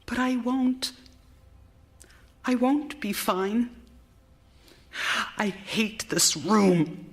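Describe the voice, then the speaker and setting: A worn-out, anxious young wife in period radio theater. Her voice sits fairly high and moves very slowly, with an intense, halting delivery that slips toward hushed confiding. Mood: distressed, pleading. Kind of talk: period radio theater acting, monologue and dialogue. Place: theater stage, live performance.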